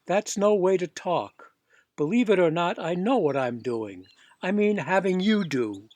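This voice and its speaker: A man's voice: sits high, lively intonation, measured pace, darkish tone, no room sound. A man voicing a stubborn, earnest and slightly eccentric householder in a storybook, insistent and easily flustered. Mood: indignant.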